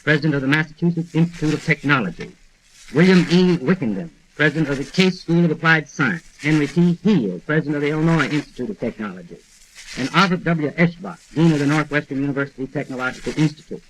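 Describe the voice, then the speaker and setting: Brief: American male moderator, slightly high voice, measured formal delivery, faintly noisy 1940s radio sound. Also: expressive. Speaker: moderator of a radio panel discussion. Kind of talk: formal scripted summary and announcement.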